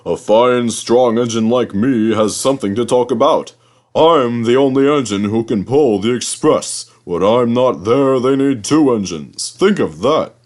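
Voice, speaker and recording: Storybook role: proud male express engine, pompous, condescending, lively swings in pitch, acted by a man. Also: unhurried, close to an everyday voice.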